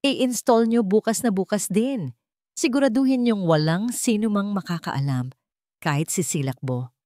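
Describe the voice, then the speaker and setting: Filipino lady doing a worried grown son, gentle and respectful, filipino accent, story drama, very up and down voice. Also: mid-range voice, lively intonation, normal speed, dry recording, studio quality, lightly acted. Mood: determined. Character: worried, devoted adult son of a rich family.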